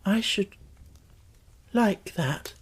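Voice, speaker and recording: Storybook sickly boy, woman narrator acting: imperious, insistent, inquisitive, lifted pitch. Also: animated, very slow, slightly rough, exaggerated voice. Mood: dreamy. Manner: demanding, insistent. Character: imperious, sickly young boy, curious and persistent.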